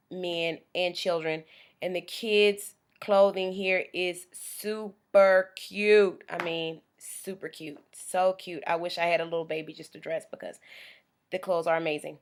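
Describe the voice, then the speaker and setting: A woman's voice: on the low side, level tone, measured pace. A young American woman, chatting casually into a webcam in a small room, rambling with frequent ums. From United States. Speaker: vlogger. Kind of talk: casual vlog monologue. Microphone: webcam at close range.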